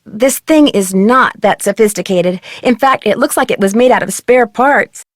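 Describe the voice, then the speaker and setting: A woman's voice: on the high side, moderate pace, no echo, clean studio sound, natural voice. A woman playing a level-headed, determined teenage girl detective in a video game, speaking in a composed, friendly, matter-of-fact way.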